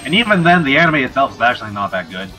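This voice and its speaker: A man's voice: mid-range voice, sing-song, rapid-fire, music in the background, rough recording. A young man in casual livestream chat, self-deprecating and given to swearing.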